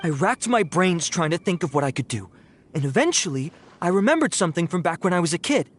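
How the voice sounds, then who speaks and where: A man's voice: high-pitched, very animated, fast talker, a little hiss or hum. A man voicing a nervous young thief who stammers and pleads for a second chance, in a game. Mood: pleading. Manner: anxious, stammering, apologetic.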